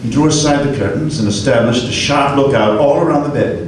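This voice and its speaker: A man narrating a classic ghost story aloud in a live radio play, with measured, vivid storytelling. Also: medium pitch. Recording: echoey hall, far mic, noise.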